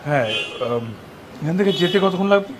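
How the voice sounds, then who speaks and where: An actor playing a scheming, insistent former lover in a Bangladeshi TV drama, with a Bangladeshi accent. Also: male voice, high voice, expressive, a little fast, faint music behind, poor audio. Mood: polite. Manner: mixes English phrases into speech. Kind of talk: TV drama acting.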